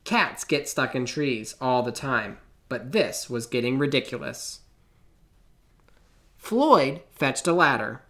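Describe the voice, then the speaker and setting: A man reading a children's picture book aloud in an expressive, theatrical way, with deliberate pauses. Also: mid-range voice. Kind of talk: children's storybook read-aloud.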